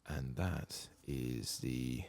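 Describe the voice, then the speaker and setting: A soft-spoken man murmuring ASMR commentary as he plays a video game. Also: rumbling low, drawn-out words. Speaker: ASMR gaming streamer.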